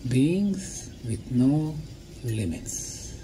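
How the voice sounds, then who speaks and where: A man giving a public talk in short, deliberate, emphatic phrases, pausing often for an interpreter. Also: mid-range voice. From Bosnia. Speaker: lecturer. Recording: clear steady background noise.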